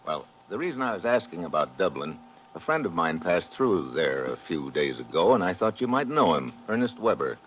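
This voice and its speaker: A man's voice: low-pitched, sing-song, moderate pace, slight background noise, low-quality recording. An actor playing a cool, tough investigator in an old radio thriller, dry and understated as he talks and narrates his case. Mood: probing. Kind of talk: 1950s radio thriller acting, hard-boiled narration.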